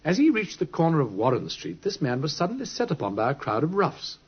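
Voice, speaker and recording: An actor with a British accent playing a brisk, absorbed, genial gentleman detective in an old radio drama, speaking precisely and urbanely. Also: on the high side, exaggerated voice. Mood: absorbed storytelling. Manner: animated, precise, urbane storytelling. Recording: muffled, husky old radio recording.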